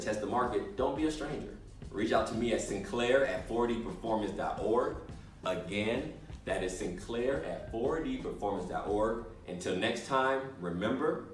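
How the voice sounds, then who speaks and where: Man, lowish voice, casual business coach, lively storyteller, roomy echo, faint music. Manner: animated storyteller, acts out voices in anecdotes. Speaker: entrepreneurship coach. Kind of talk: casual motivational talk with storytelling. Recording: echoey room, distant mic, music behind.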